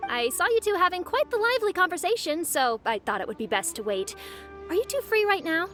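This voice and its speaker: A woman's voice: very high voice, very animated, brisk, sharp tone, faint music behind, exaggerated voice. An actress playing a polite, eager female event organizer who explains duties in a courteous, businesslike way, in video game dialogue. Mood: welcoming.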